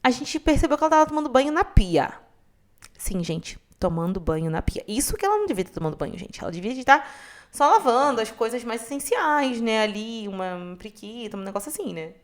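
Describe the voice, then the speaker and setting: A young woman from Rio de Janeiro with a Carioca accent, hosting a podcast and telling her own story in a chatty, expressive way that keeps turning to her listeners. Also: fairly high pitch, brisk. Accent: Carioca Brazilian Portuguese. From Rio de Janeiro, Brazil. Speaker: podcast host. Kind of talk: casual storytelling monologue.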